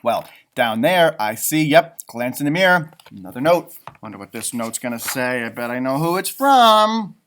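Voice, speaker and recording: A man hosting a storybook read-aloud for children, with very lively, varied intonation. He thinks out loud in chatty asides, humming as he ponders and explaining things simply. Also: mid-range voice, moderate pace, a little hiss or hum. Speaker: storybook reader and host, schoolteacher.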